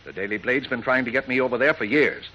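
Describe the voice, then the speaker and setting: A man's voice: mid-range voice, quick pace, sharp tone, muffled recording. A man playing a hot-tempered, stubborn middle-aged newspaper editor in 1940s radio style, blustering and emphatic. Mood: defiant. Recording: noisy old radio recording.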